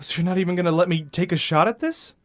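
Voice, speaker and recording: A man's voice: high voice, quick pace, close to an everyday voice. An actor playing a brash, insistent male newspaper reporter who swears freely in an audio drama.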